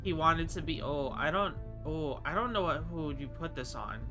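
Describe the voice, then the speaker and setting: A man's voice: a little high, lively intonation, normal speed, over music. Congested nasal man chatting while gaming. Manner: chatty, rambling, thinks aloud and answers chat. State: just woke up. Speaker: streamer. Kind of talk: casual live game commentary.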